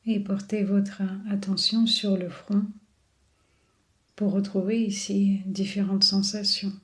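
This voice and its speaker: A woman leading a guided body scan meditation in a soothing, unhurried manner with long pauses. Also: mid-range voice, level tone. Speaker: yoga and relaxation guide.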